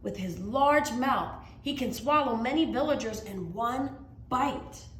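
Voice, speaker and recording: A woman's voice: on the high side, lively intonation, measured pace, pitched above the performer's own voice. A woman voicing an alarmed village mother in a storybook, warning her child with urgent emphasis. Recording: roomy echo, faint background noise.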